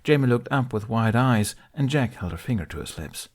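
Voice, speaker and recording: A man reading a fan fiction aloud as an audiobook, in soft, measured storytelling. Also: medium pitch, lively intonation. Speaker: podfic reader.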